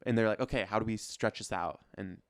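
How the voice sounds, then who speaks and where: A man with a fairly low, smooth voice chats as a podcast co-host. His phrasing is loose and slangy, and the sound is dry with very little room to it. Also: fast talker, no room sound. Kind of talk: casual pop culture podcast chat.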